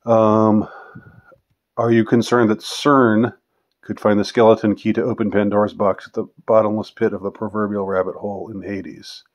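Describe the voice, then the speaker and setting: A man in his fifties with a fairly low voice talks casually as a vlogger taking questions. He speaks off the cuff in a halting, rambling way, often letting a thought trail off before picking it up again. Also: level tone, lo-fi sound. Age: 50s. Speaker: vlogger answering viewer questions. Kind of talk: casual unscripted question-and-answer monologue.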